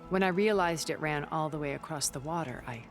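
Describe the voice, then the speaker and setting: A woman playing a secretive older strategist in a game cutscene, speaking in a measured, formal way. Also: low voice, soft background music, natural voice. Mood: cool, enigmatic. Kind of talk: video game cutscene acting.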